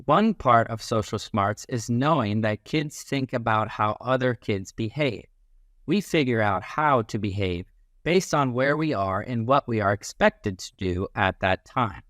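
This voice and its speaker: A man reading a children's book aloud as a teacher, in an expressive, instructive way that leans on key phrases. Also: medium pitch, unhurried, dry recording, clean studio sound.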